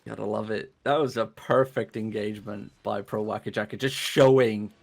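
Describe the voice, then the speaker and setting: A man giving relaxed, chatty live play-by-play commentary on an online game battle. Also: fairly low pitch, moderate pace, gravelly. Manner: relaxed, chatty, laughs easily. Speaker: esports caster.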